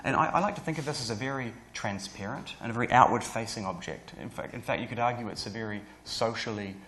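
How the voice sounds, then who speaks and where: A man in his thirties with a New Zealand accent, giving a conference talk in a lecture hall, rushing along with many fillers and restarts. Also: medium pitch, fairly bright tone, a little hiss or hum. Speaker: guest speaker. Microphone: lapel mic.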